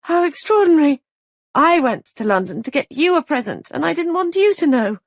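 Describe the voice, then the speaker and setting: A woman's voice: sits high, sing-song, a little fast, no echo, clean studio sound, voice pushed up. A woman voicing a frightened young wife, anxious and breathless.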